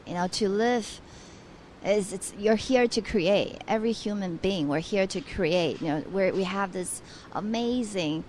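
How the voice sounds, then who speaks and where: A woman's voice: mid-range voice, a little slow, darkish tone, slight background noise. A young adult woman from Taiwan, a guest on a radio interview, speaking earnestly with frequent restarts.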